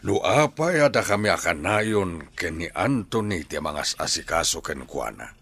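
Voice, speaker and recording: An actor playing an old grandfather in a radio drama, patient, measured and admonishing. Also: low voice, sing-song, fairly bright tone, husky, no room sound, full character voice.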